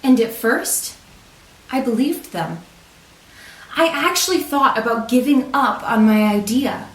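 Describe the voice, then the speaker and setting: Female storybook reader, highish voice with lively melody, deliberate children's read-aloud delivery, recorded in a roomy space. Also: slight background noise. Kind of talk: read-aloud picture book narration. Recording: roomy echo, distant microphone.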